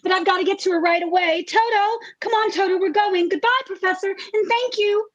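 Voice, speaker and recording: A very high, girlish voice as an anxious, wide-eyed farm girl in a radio play. She is earnest and breathless, stumbling and repeating her words. The recording is dull and muffled. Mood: worried. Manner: breathless, halting, repeating phrases. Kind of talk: comedic radio play acting.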